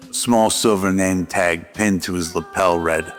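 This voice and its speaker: A man's voice: sits low, steady tone, a little slow. A man narrating a scripted noir radio drama. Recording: music behind the voice.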